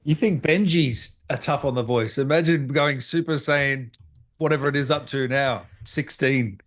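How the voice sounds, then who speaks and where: A man's voice: mid-range voice, normal speed, fairly bright tone. A man hosting a casual interview, putting questions to his guest in an informal, chatty way. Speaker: interviewer and show host. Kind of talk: casual chat.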